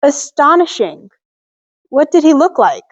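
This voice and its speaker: Actress as inquisitive reporter, very high voice, formal prompting delivery, drama, dry muffled recording. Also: female voice, sing-song, measured pace, no room sound. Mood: amazed. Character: inquisitive, amazed news interviewer questioning a family. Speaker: interviewer.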